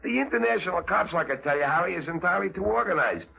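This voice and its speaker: An actor playing an anxious, uneducated small-time smuggler in street slang, in a 1950s radio drama. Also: male voice, high-pitched, lively intonation, fast talker, gravelly, exaggerated voice. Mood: insistent, nervous. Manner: ungrammatical underworld slang. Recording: muffled lo-fi old radio recording.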